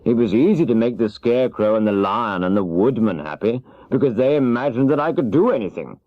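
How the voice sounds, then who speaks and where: A man's voice: mid-range voice, lively intonation, brisk, dry recording, close to an everyday voice. A man voicing a polite, obliging little humbug wizard in a children's storybook reading. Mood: rueful. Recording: dull, lo-fi cassette transfer.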